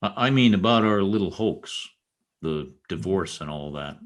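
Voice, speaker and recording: Animated, lowish-voiced wry reporter, acted by a man. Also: moderate pace. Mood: wry, doubtful. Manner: wry, joking, hesitant asides. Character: wry, easygoing male newspaper reporter. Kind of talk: old-time radio comedy acting. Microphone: video call.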